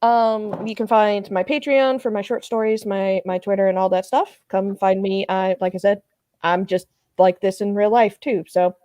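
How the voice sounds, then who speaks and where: A woman with a medium-pitched voice chats casually as a writer on a panel. The recording is dry and free of echo. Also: fairly even intonation, moderate pace, mellow.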